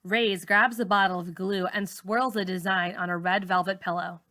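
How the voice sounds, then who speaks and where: A woman reading a novel aloud as a teacher would, in expressive first-person story narration. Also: medium pitch, moderate pace.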